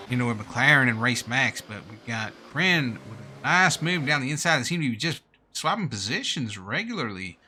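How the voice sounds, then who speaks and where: Man, very bright put-on American commentary voice. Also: medium pitch. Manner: informal, slangy. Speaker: race commentator and streamer. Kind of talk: live sim-racing commentary with casual asides.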